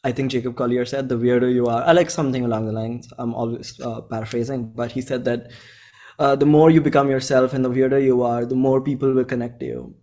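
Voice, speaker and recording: Young Indian man chatting casually, medium pitch. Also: mellow. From Bhopal, India. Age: 20s. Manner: informal, halting, earnest. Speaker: musician, interview guest. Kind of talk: casual chat.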